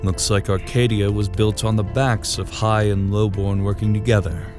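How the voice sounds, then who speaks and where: A low-pitched male warrior played by an actor in a fantasy audiobook, gruff and matter-of-fact. He speaks in measured, few words, with clear music playing underneath. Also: level tone, natural voice. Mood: calm, knowing. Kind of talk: dramatised fantasy audiobook acting.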